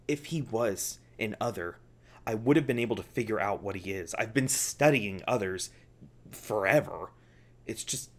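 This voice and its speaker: A man playing a shaken, traumatized researcher in an audio roleplay, speaking in a halting, stammering monologue. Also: lowish voice. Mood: urgent, fearful. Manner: halting, stammering, faltering delivery. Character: shaken, traumatized male researcher recounting torment. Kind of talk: ASMR roleplay acting, intimate monologue.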